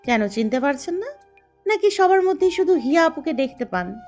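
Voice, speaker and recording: A woman's voice: high-pitched, very animated, moderate pace, a little mellow, faint music behind. A woman playing a stubborn, jealous young woman in an audio love story, defiant and accusing. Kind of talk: dramatised audio story dialogue.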